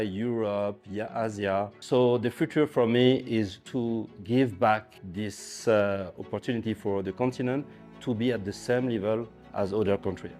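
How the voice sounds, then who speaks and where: A man speaking in a documentary interview. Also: on the low side. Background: soft guitar music.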